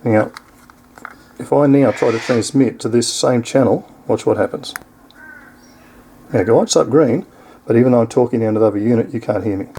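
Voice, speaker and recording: A man's voice: medium pitch, normal speed, long pauses, dark tone, slight background noise. A man with an Australian accent giving a casual, unscripted product demonstration in an easygoing, explanatory way. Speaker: product reviewer.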